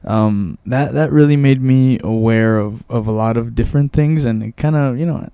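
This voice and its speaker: A man's voice: mid-range voice, normal speed, creaky, dark tone, smooth, dry recording. A man in his twenties, born in Mexico with Spanish as his first language, chatting casually on a podcast and often repeating words as he recalls. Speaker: guest.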